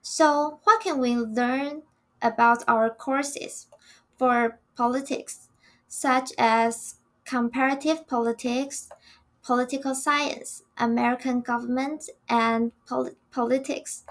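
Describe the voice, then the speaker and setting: High-voiced young Taiwanese woman. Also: fairly even intonation, slow pace, fairly bright tone. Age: young adult. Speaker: student podcast co-host. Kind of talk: reading prepared notes.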